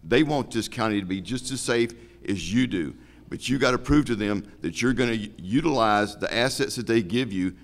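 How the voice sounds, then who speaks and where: A man's voice: fairly low pitch, normal speed. A man speaking as a law officer and candidate at a public forum, making his case in an emphatic, plain-spoken way.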